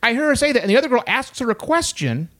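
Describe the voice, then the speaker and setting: A man's voice: very high voice, fast talker. Guy telling a funny story, voice swoops up and down a lot, does little voices for people. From United States. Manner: animated storyteller who acts out other people's voices. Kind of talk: casual storytelling chat.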